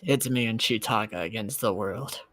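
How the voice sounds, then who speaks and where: A woman's voice: a bass voice, normal speed, a bit bright. A woman playing a tabletop roleplay, chatting casually and teasing the others in a giggly way. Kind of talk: casual chat mixed with in-character banter.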